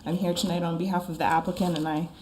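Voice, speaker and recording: A woman attorney speaking formally at a town council meeting, her voice froggy and hoarse from a lingering cold, measured and methodical. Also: on the low side, little pitch movement, darkish tone, a little hiss or hum. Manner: measured and methodical, with frequent ums. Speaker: attorney for an applicant. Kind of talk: formal public meeting presentation.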